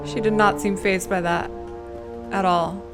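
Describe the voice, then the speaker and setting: A woman's voice: mid-range voice, fairly even intonation, a little slow. A woman streaming a game, giving casual, playful commentary and thinking aloud as she reacts. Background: game music.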